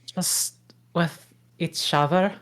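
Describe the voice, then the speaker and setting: A gruff, sarcastic male ogre husband, read by a woman at a table read. The voice sits low and dry, with a grumbling sarcastic edge. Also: steady tone, slow pace, a bit bright. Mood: impatient. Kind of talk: table read of a film script.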